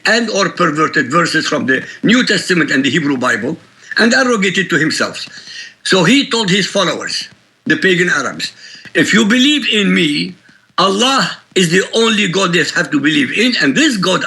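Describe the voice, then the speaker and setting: A man from Iraq with an Iraqi Arabic accent, a native Arabic speaker, answering interview questions emphatically and with forceful repetition. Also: on the high side, low-quality recording. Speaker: guest author.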